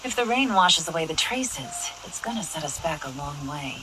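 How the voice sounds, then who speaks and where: A woman playing a shrewd, composed young woman investigator in a video game, speaking in a cool, measured, authoritative way. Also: low voice, big pitch swings, heavy background noise. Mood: cool, analytical. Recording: loud music behind.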